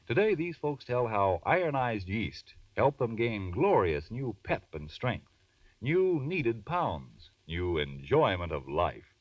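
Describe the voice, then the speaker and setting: Animated low-voiced male radio pitchman. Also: slow, no room sound. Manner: emphatic salesmanship. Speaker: commercial announcer. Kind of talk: 1940s radio advertising pitch. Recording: muffled, distant vintage radio recording.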